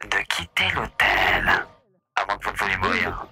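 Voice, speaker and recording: A man's voice: low voice, very animated, moderate pace, gravelly, pushed and bright, slightly rough, full character voice. A man voicing a suave, theatrical male demon who runs a hotel, courtly and mocking in a voice roleplay. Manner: grandiloquent, courtly and sardonic.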